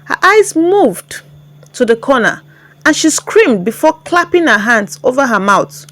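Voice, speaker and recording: A woman's voice: high-pitched, very animated, unhurried, fairly bright tone, no echo. A woman with a Nigerian accent telling a story in a dramatic scripted narration.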